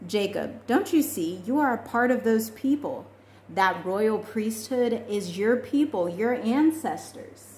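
A woman's voice: medium pitch, expressive, slow, a little mellow. A woman voicing a kindly, mysterious man who reassures and guides in a storybook. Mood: reassuring. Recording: echoey room with faint noise.

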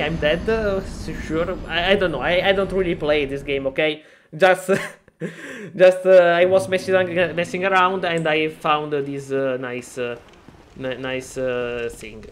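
A man with an Italian accent talks through a PC game tutorial in English, his second language, stumbling and restarting often. His voice sits a bit higher than most men's. A faint hiss and some clicks run under the recording. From Italy. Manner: hesitant, many fillers and restarts, self-deprecating. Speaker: gaming tutorial maker. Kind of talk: casual screen-recorded tutorial.

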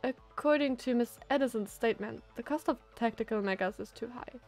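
A woman's voice: a little high, fairly quick, darkish tone, faint music behind, lightly acted. Woman as a mild, tired young male official. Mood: apologetic explaining.

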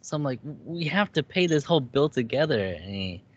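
A man's voice: medium pitch, brisk, highs cut off. A young man hosting a podcast from home, chatting casually and asking curious questions, with now and then a casual curse. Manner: relaxed, curious, swears casually. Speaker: podcast host. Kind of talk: casual video-call chat. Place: home gaming setup, indoors. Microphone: headset with desk mic.

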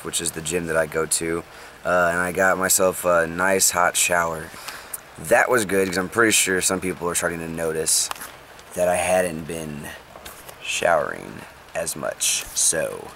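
A young man with a low, deep voice talks casually into a close camera from inside a parked van. He rambles, filling his sentences with ums and likes. Faint rain and vehicle noise sit behind him. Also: a bit bright. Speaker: vlogger.

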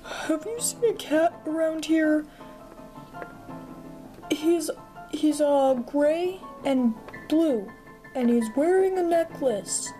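A woman's voice: sits high, slow, pauses often, warm tone, faint music behind, pitched above the performer's own voice, rough recording. A girl voicing a flustered, polite little dog. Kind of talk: homemade toy-figure drama.

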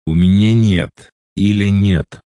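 A man slowly reading out short phrases for a language lesson, with careful, deliberate pronunciation. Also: on the low side, warm tone, smooth, dry recording, clean studio sound. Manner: slow, deliberate enunciation. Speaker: Russian phrase reader. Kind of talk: slow scripted phrase reading.

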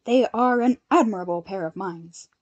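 A woman's voice: mid-range voice, normal speed, a little mellow, no room sound, dull audio, lightly acted. A woman voicing a stiff, earnest young hero leader who speaks formally and emphatically. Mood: formal, concerned.

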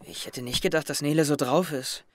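A man's voice: on the high side, steady tone, fairly quick, dry recording, close to an everyday voice. A young man playing an introspective, wry teenage schoolboy who narrates his thoughts in an audio drama. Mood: pensive. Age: young adult. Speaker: actor narrating as the main character. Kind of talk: audio drama inner monologue.

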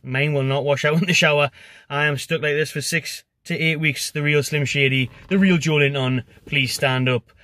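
A man's voice: fairly high pitch, steady tone, moderate pace, sharp tone, dry recording, studio quality. Young Geordie man chatting in a car. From North East England. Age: young adult. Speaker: football fan pundit. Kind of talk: casual fan preview to camera. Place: parked car, inside. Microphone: phone camera at arm's length.

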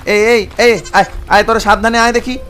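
A man's voice: high-pitched, little pitch movement, a little fast, fairly bright tone, soft background music. A man voicing an eager, polite village goatherd boy in a cartoon, with coaxing, repeated urgings. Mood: eager, helpful. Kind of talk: cartoon voice acting.

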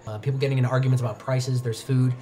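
A man in his thirties narrating a tabletop roleplaying game as game master, improvising descriptions haltingly. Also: medium pitch, level tone, mellow, slight background noise. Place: indoor studio set, behind a game master screen.

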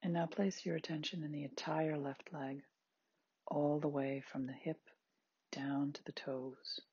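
A meditation guide with a husky, breathy, androgynous voice, giving gentle, unhurried body scan instructions in short spaced phrases. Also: medium pitch, level tone, no echo, muffled recording. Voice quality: very husky, breathy, at times near-whispered.

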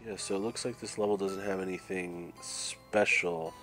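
A man commentating casually while playing a prison game, his voice raspy and nasal from a cold, thinking aloud as he plays. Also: sits low, fairly even intonation, normal speed, a bit bright. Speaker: gameplay commentator. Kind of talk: casual let's-play commentary. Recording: faint game music behind.

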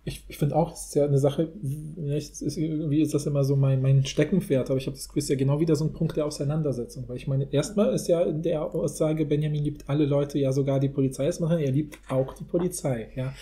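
A man's voice: on the high side, fairly even intonation, fast talker, hardly any pauses, mellow. A man co-hosting a podcast, talking casually and analytically in a discussion. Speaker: podcast co-host.

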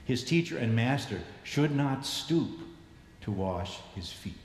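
Older man preaching in an echoey church. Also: expressive. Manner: measured, reflective, dropping low at phrase ends. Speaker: bishop preaching. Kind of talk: sermon. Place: large church sanctuary, standing before the altar. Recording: echoey church with long reverb.

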